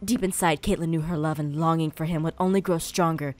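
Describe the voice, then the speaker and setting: A theatrical, excitable woman hosting and reading a story aloud amid playful banter. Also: low-pitched, a little fast, dry recording. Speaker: host reading fan fiction.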